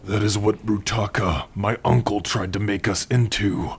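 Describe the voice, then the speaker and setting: Man voicing a very husky, gentle orc. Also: fairly even intonation, heavily acted. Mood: grave, explaining. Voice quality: husky, breathy, low. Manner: soft, halting, hushed, intimate. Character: gentle, protective male orc, tender and worried partner. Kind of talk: ASMR roleplay acting.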